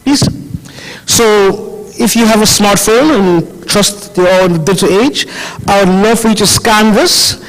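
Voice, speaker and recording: A man's voice: high-pitched, unhurried. A man with a foreign accent giving a casual, chatty talk at a conference, often correcting himself mid-sentence. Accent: foreign, European-tinged. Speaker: conference speaker. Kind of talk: conference presentation. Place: conference hall. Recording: hall reverb from a large room.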